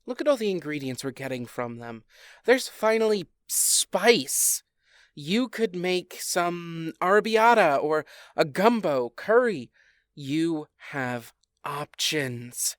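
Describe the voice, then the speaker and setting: Slow, smooth, needling fairy queen. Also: low-pitched, animated, no room sound. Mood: needling, provocative. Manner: dry, teasing, unimpressed. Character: wry, needling fairy queen who craves spice. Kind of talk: comedic audio drama acting.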